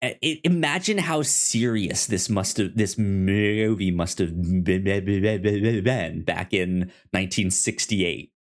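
A man co-hosting a podcast, casually discussing film in a halting way, often repeating and stammering over his words. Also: fairly low pitch. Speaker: podcast co-host.

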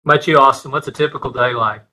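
A man's voice: mid-range voice, fairly even intonation, racing, pushed and bright, poor audio. A man with an East Texas accent, guest-hosting a casual podcast interview over a video call and often restarting sentences as he talks. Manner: friendly, repeats words when starting sentences.

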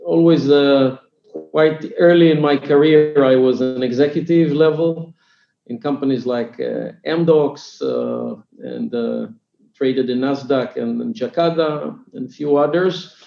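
Israeli man, 50s, tech CEO guest, gravelly and halting, echoey muffled line. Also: medium pitch. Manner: hesitant, many fillers and restarts. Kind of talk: business podcast interview. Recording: echoey, dull remote audio.

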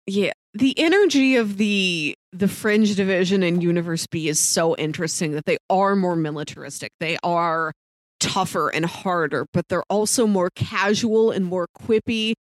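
A woman's voice: lowish voice, moderate pace, no room sound, studio quality. A woman co-hosting a podcast, chatting casually about television with playful, wry commentary. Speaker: podcast co-host. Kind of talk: casual TV discussion chat.

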